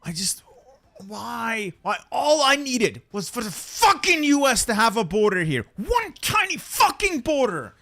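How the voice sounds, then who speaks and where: A man's voice: very high voice, sing-song, a little slow, gravelly, a bit bright, no room sound, studio quality. A young Dutch man narrating his strategy game play, muttering and swearing in frustration as things go wrong. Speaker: gaming YouTuber.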